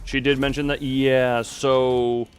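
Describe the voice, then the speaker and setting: A man livestreaming a game, chatting casually in a halting, rambling way as he thinks aloud. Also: medium pitch, level tone. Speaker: livestream host. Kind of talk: casual chat while playing a horror game live. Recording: clear background noise.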